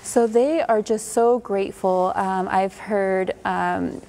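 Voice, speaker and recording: A woman's voice: mid-range voice, fairly even intonation, slow, warm tone. A woman in her thirties, owner of a salon, answering earnestly and hesitantly as a guest in a TV studio. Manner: earnest, hesitant, many ums. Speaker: kids' hair salon owner and stylist. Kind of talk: TV interview guest. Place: TV studio set.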